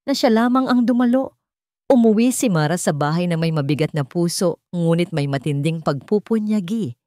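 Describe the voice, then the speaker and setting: A woman narrates a dramatic story from a script in a Filipino accent. Her voice sits on the low side for a woman. The recording is close and dry, with little sense of a room around her. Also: a little mellow, no room sound.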